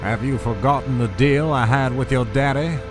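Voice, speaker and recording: A man's voice: medium pitch, moderate pace, over music, lightly acted. A man playing a domineering older oil tycoon in an audio drama, blustering and threatening. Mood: furious.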